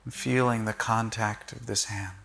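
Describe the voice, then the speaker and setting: A man leading a guided body-scan meditation in short, gentle, measured phrases with long pauses. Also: fairly low pitch, fairly even intonation, gravelly.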